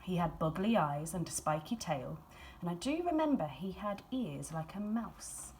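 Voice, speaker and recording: A woman reads a children's storybook aloud expressively, in a measured storytelling manner with dramatic emphasis and hushed phrases. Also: fairly low pitch, sing-song, a little hiss or hum. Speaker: school staff member reading a picture book aloud.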